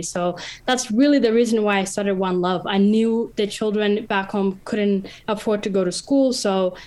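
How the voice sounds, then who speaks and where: A woman's voice: medium pitch, darkish tone. A young woman around twenty, from northern Tanzania, telling her own story in an earnest, open way with a few fillers and restarts. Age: about 20. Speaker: interviewee, university student. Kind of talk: casual personal account.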